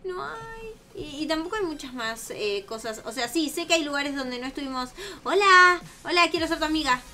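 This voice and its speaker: High, bright young Argentine woman. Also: lively intonation, normal speed. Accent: Argentine Rioplatense Spanish. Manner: playful, bantering, exclamatory. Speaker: YouTube gaming host. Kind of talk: casual playful gameplay chat. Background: faint music.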